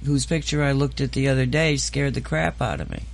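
A man's voice: medium pitch, steady tone, brisk, gravelly. A man hosting a casual podcast chat, rambling and hesitant, full of fillers and restarts. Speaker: host.

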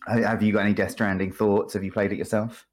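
Male podcast guest, low voice, casual and conversational, dry sardonic humour. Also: flat delivery, fast, a little mellow. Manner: wry, sardonic, jokey. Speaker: podcast guest discussing video games.

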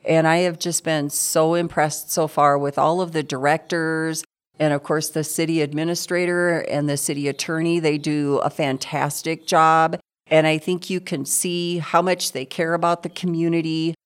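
A woman in her sixties with a notably low-pitched voice, speaking in a friendly, chatty, upbeat way. She is from South Dakota and answers questions as a guest on a local interview podcast. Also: flat delivery, normal speed, clear, resonant tone. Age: 60s. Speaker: city council member, guest. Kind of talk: conversational interview.

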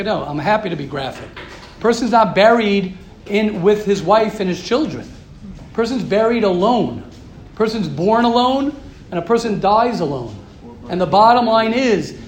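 A man's voice: high-pitched, echoey room, slight background noise. A man teaching a Torah class to a live group, emphatic and repetitive, asking rhetorical questions. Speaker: rabbi giving a class. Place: room with a live audience.

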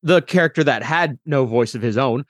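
A man chatting as a podcast guest, telling anecdotes in an animated, rambling way. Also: mid-range voice, moderate pace, no echo, studio quality. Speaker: podcast guest and tabletop player. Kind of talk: casual chat, telling gaming anecdotes.

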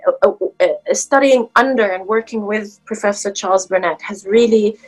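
A woman's voice: medium pitch, moderate pace, a little mellow, low-quality recording. A woman whose first language is Arabic, speaking as a guest scholar in a casual academic conversation, with many fillers and restarts.

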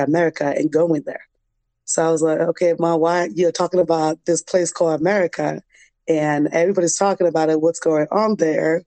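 Young woman telling her story, pretty even tone, close dry sound. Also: deep voice, no echo. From Liberian, grew up in a refugee camp in West Africa. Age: young adult. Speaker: interview guest, dance program founder. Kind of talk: conversational personal storytelling.

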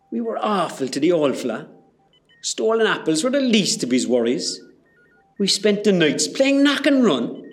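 A man playing a kindly, earnest Irish hospital porter with a Cork accent in an amateur radio play. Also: on the high side. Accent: Irish, County Cork. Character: kindly, apologetic Irish hospital porter, grown-up local lad.

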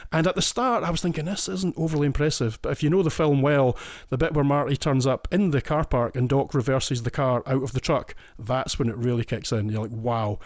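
A man's voice: medium pitch, fairly even intonation, fairly quick, hardly any pauses, dry recording, clean studio sound. A Scottish man in his forties, a podcast guest, explaining things with enthusiasm. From Scotland. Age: 40s. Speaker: listener guest. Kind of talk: casual interview.

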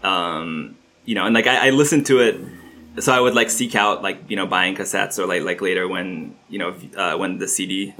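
A man from Turkey talking as a guest musician, with a lowish voice that has a bright, forceful edge. He rambles casually through the conversation, full of fillers and restarted phrases. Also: normal speed. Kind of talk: casual interview chat.

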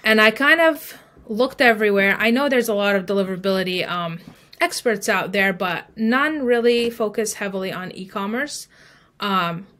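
Woman, mid-pitched voice, interview guest, informal, explanatory, conversational storytelling, frequent filler phrases. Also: a bit bright. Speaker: podcast guest, email marketing expert. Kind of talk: conversational interview, telling own business story.

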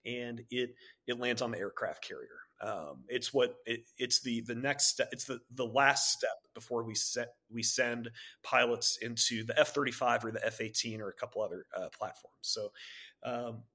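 A man in his thirties from Maryland and Virginia, a Navy pilot, telling his background as an interview guest in a relaxed way with many fillers and restarts. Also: medium pitch, moderate pace. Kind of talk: casual interview talk.

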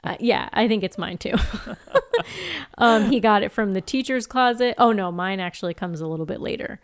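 Woman, smooth clear voice, casual podcast co-host, informal, giggly, TV recap chat. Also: mid-range voice, moderate pace.

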